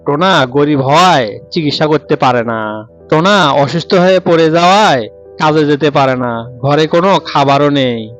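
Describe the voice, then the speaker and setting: Guy narrating a cartoon story, kinda high voice for a man, soft music behind. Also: expressive, normal speed.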